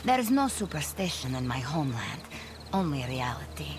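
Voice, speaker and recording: A woman playing a grim, wry veteran warrior in a video game, with a measured, brooding way of telling her story. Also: sits low, very animated, background noise. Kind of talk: video game cutscene monologue.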